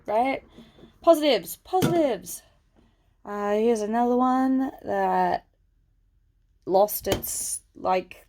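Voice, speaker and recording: A woman with a low voice, stuffy and snuffly as if coming down with a cold. She chats loosely and rambles, talking fondly to her plants at home. It is captured through a phone camera. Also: a little mellow. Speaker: plant vlogger. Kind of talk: casual chat while handling plants. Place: at home indoors.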